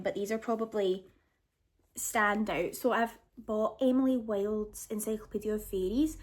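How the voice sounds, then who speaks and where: A woman chatting casually and enthusiastically to camera as a book vlogger, often gushing. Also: medium pitch.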